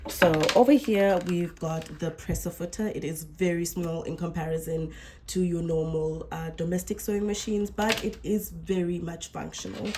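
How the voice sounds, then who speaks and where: A woman's voice: on the low side, background noise. A young woman hosting a casual sewing tutorial, chatty and correcting herself, a little unwell with a coming flu. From South Africa. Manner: chatty, self-correcting explainer. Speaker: sewing channel host.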